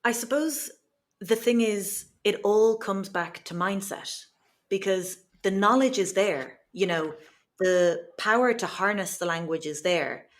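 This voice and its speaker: A woman's voice: mid-range voice, a little slow. A young woman, a language educator answering interview questions in an earnest, reflective way. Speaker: guest, Irish language educator. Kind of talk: podcast interview.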